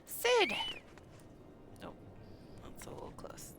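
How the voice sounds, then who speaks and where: A woman game streamer giving live, expressive commentary as she plays, reacting aloud and reading dialogue. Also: slow pace, in a whisper. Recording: faint background noise.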